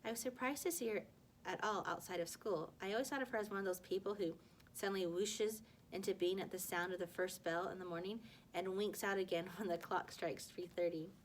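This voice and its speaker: A woman's voice: mid-range voice, brisk. Woman reading a story aloud, noisy background. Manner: animated dialogue, stumbles over words and corrects herself. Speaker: teacher reading aloud to a class. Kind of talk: expressive read-aloud of a children's novel.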